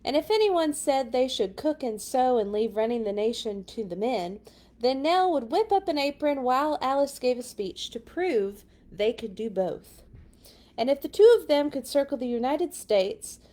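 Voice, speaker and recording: Expressive woman reading a children's story aloud. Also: high voice, normal speed. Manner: expressive, dramatic emphasis with chatty asides to listeners. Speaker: children's read-along storyteller. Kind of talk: animated children's picture-book read-aloud.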